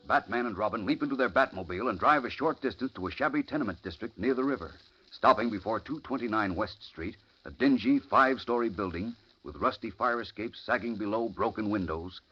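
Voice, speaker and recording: Actor as urgent vintage radio announcer, muffled. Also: male voice, mid-range voice, a little hiss or hum, close to an everyday voice. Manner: urgent, theatrical. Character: dramatic radio serial announcer recapping suspense. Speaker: narrator. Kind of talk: 1940s radio scripted narration. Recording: muffled old radio recording.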